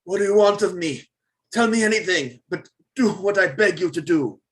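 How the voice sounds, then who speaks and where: An actor playing an aggrieved Italian immigrant father in a screenplay table read, halting and emotional as he pleads. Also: high voice, animated. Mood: desperate pleading.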